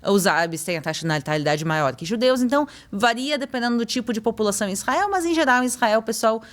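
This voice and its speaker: A young woman from Brazil talks as a podcast guest. She explains at length and addresses listeners directly. Her voice sits a little high. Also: animated, fast. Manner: explanatory, uses "tu" and "pessoal". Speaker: podcast guest, tour guide. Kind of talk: casual podcast chat.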